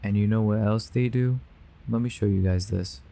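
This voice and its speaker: A man's voice: lowish voice, fairly even intonation, moderate pace, vocal fry, warm tone. A man playing a grave, earnest believer warning others of a sinister conspiracy in an audio drama. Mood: grave, urgent. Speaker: voice actor.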